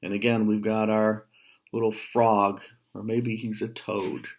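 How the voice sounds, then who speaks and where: An American man in his fifties tells a children's story in a fairly low voice. He goes very slowly, pausing for casual, explanatory asides to his young listeners. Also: little pitch movement. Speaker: storyteller, writer. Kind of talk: children's picture book read-aloud with casual asides. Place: small room at home.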